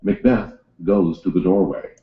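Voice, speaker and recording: A man's voice: sits low, expressive, unhurried. A man reading scripted stage directions as the narrator of a play. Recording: roomy echo, slightly dull sound.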